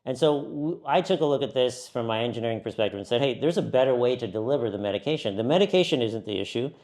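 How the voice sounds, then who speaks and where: A middle-aged man from California, a company founder, explaining his work in a relaxed interview with frequent fillers. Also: mid-range voice, fast talker. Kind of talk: conversational interview.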